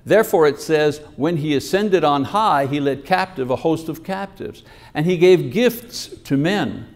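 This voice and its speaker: A man's voice: on the high side, unhurried. An older man delivering a sermon at a church lectern, explaining and reading scripture in an earnest teaching manner over a podium microphone. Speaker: preacher.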